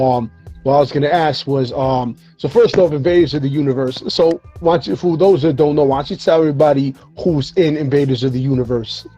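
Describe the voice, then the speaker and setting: Tired, husky-voiced male show host. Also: medium pitch, warm tone, dull audio. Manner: laid-back slangy talk with frequent fillers and cursing. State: losing his voice, tired. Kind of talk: casual hip-hop interview chat.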